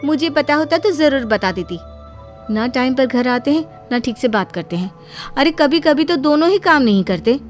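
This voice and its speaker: Woman voicing a dramatic, high-pitched young daughter-in-law. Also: expressive, normal speed, faint music behind. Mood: annoyed. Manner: taunting, dramatic delivery. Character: sharp-tongued, defiant young daughter-in-law, emotional and suspicious.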